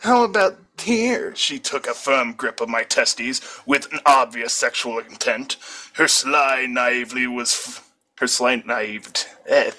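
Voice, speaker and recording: A man's voice: mid-range voice, little pitch movement, a little slow, sharp tone. A man reading fan fiction aloud as an amateur, now and then stumbling over words and correcting himself. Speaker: amateur story reader.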